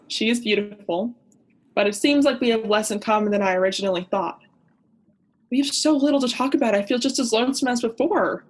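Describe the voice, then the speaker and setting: A young woman playing a melodramatic, lonely, self-pitying male diver in a comic radio play, with a theatrical manner. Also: a little high. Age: young adult. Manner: theatrical, gushing then griping.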